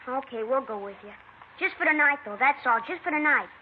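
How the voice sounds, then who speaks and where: Woman voicing a defiant young boy, high and fast, stubborn, proud, old radio drama, tinny sound. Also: lively intonation, slight background noise. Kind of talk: 1950s radio acting.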